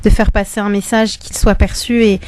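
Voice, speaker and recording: A woman poet on a radio panel, earnest and measured. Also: mid-range voice, flat delivery, a little mellow, a little hiss or hum. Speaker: poet guest. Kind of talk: reciting a poem, then chat.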